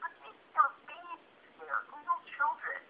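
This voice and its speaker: A woman giving an unscripted interview over the phone as the guest, speaking earnestly and haltingly, repeating words for emphasis. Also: sits high, steady tone, gravelly, a little hiss or hum. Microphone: telephone. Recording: tinny, muffled phone line.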